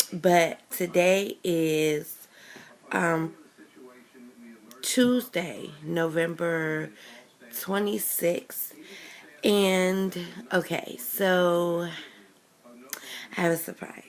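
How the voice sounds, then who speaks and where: A young woman with a raspy voice from a chest cold, chatting casually to her camera. She talks slowly and rambles, full of ums and small corrections as she goes. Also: lowish voice, steady tone. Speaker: vlogger.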